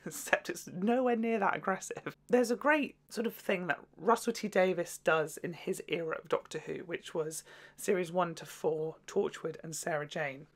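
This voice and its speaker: A woman's voice: lowish voice, normal speed. A woman hosting a casual, chatty video review, gushing and jokey with sweary asides. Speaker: video host.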